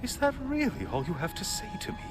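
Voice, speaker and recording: A man's voice: extremely high pitch, sing-song, quick pace. A man playing a sardonic, resentful god in a cinematic video game, speaking in a mocking, theatrical way. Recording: loud music behind the voice.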